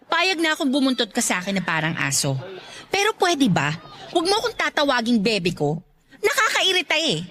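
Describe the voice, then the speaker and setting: A high-pitched, irritable young Filipino woman who hides her affection. She speaks bluntly, with a scolding, exasperated edge. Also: wildly swooping pitch, fairly quick, fairly bright tone, exaggerated voice. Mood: firm, irritated. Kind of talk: Tagalog radio drama acting.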